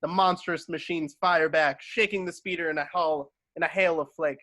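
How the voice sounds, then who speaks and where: A man's voice: on the high side, fairly even intonation, normal speed, bright, forceful tone, no echo. A man reading stage directions as scripted narration, giving scene headings in clipped fragments.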